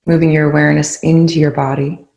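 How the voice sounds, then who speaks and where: A calm, soothing voice leading a guided meditation in gently spaced phrases. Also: mid-range voice, quick pace, gravelly. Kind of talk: guided meditation, soft instruction. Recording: roomy, echoing indoor sound.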